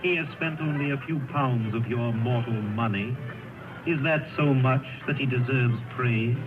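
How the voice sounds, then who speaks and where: A man playing a solemn male spirit of the past in a 1930s radio drama, speaking in a grave, measured, commanding way. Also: mid-range voice, lightly acted. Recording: tinny old radio broadcast with music.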